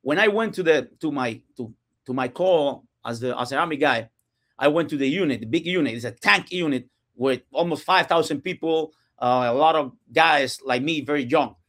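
A man's voice: on the high side, moderate pace, a bit bright. A man from Cuba with a Cuban Spanish accent, speaking English as a second language, telling his story earnestly as a podcast guest and often restarting his sentences. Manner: earnest, with frequent restarts and non-native grammar. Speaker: guest, real estate entrepreneur. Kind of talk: casual podcast interview. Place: podcast studio.

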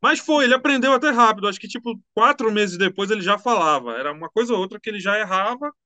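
Chatty high-voiced man. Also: fast talker, no echo. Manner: relaxed, slangy, chatty. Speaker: musician guest. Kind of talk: casual interview storytelling.

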